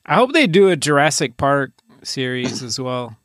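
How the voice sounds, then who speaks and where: A man's voice: medium pitch, big pitch swings, normal speed, a bit bright, dry recording, clean studio sound. A man co-hosting a podcast, chatting casually and joking dryly. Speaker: podcast co-host. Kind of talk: casual banter.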